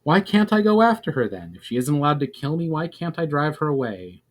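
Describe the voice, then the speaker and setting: A man's voice: fairly high pitch, big pitch swings, a little fast. A man voicing an indignant, determined male elf in a fantasy story, insistently demanding answers. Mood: defiant. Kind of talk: fantasy audiobook dialogue.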